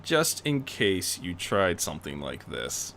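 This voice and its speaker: A narrator doing a stern, gravelly older father. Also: sits low, very animated, a bit bright, a little hiss or hum, full character voice. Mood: stern, disapproving. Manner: terse, measured, interrogating. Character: stern older man, retired crime-fighting father, gruff and suspicious. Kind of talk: acted fan fiction reading.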